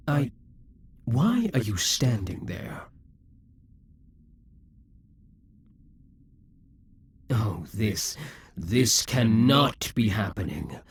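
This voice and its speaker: A possessive, scheming demon king, played by a man in an audio roleplay. His voice is husky and breathy, and he often drops to a half-whisper. He draws his words out slowly, and his pitch rises and falls freely. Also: no room sound, full character voice. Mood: frustrated, incredulous.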